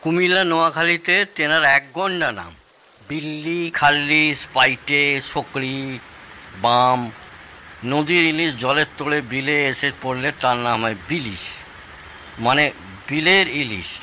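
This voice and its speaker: A genial older father-in-law in a radio play. His voice is very bright and forceful, with a teasing, storytelling manner. There is faint noise behind him. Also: a little high, unhurried. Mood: playful, proud. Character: genial older father-in-law, proud champion of Padma hilsa. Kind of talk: Bengali audio drama dialogue.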